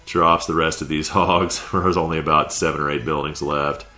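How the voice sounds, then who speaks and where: A man's voice: rumbling low, level tone, normal speed, pushed and bright. A man with a stuffy head cold giving laid-back, conversational play-by-play commentary over a video game recap. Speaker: clan war recap commentator.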